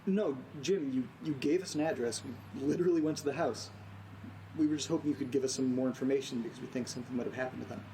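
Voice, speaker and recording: An actor playing an anxious, earnest man in a naturalistic audio drama, speaking in a hesitant, halting way. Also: medium pitch, a crowd in the background, lightly acted, poor audio. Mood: uneasy, pressing. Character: anxious, earnest man recording strange events at home. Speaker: lead character of a horror audio drama.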